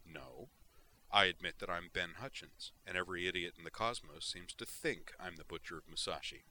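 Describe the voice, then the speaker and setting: A man's voice: low-pitched, moderate pace, sharp tone. A man doing a weary, sardonic fugitive. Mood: bitter. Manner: dry, sardonic. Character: weary wry male fugitive, sardonic and tired.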